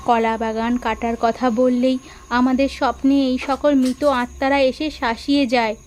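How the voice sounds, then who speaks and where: A woman playing a frightened village mother in a horror cartoon, speaking gravely about being haunted. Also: sits high, flat delivery, a little slow, a little mellow, a little hiss or hum. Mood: fearful, grave.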